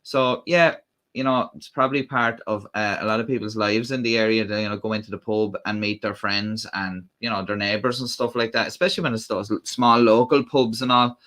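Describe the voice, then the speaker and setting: A man's voice: on the low side, fairly even intonation, a little fast, fairly bright tone. An Irish man hosting a casual livestream, rambling with lots of fillers and restarts. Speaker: livestream host. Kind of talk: casual live-stream chat.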